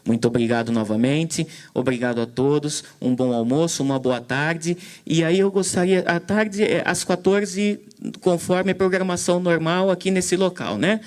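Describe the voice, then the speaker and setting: Male moderator, hesitant delivery, fillers and restarts, slightly higher pitch. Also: normal speed. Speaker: event moderator. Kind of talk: commentary on a talk.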